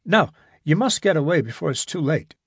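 A man's voice: on the high side, big pitch swings, brisk, a bit bright, slightly rough, no echo, clean studio sound, exaggerated voice. A man voicing an eager, self-assured young man in an audiobook reading.

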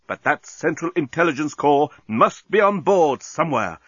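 A man's voice: on the high side, animated, moderate pace, a bit bright, breathy edge, no room sound, highs cut off, pitched above the performer's own voice. A man voicing a grandiose, earnest alien starship captain, declaiming and commanding in a storybook reading. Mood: grave, rousing.

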